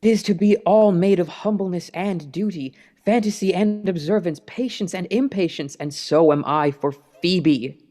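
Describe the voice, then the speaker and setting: Woman playing a low, mellow, lovesick shepherd. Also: moderate pace, few pauses, close to an everyday voice. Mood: adoring. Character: devoted, humble, lovesick young shepherd. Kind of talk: Shakespearean radio play acting. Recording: long echoing reverb.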